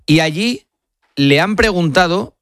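A man's voice: high-pitched, expressive, measured pace, a bit bright, no echo, clean studio sound. A man hosting a sports radio talk show, emphatic and prone to repeating his points.

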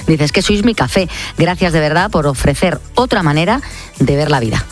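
A woman's voice: deep voice, animated, brisk, faint music behind. A woman with an accent from Spain co-hosting a radio show and reading out messages from listeners. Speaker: radio co-host.

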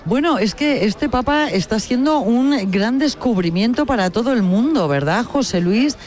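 A woman's voice: on the high side, animated, normal speed, a little mellow. A woman hosting a live outdoor radio broadcast in a conversational way. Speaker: radio host.

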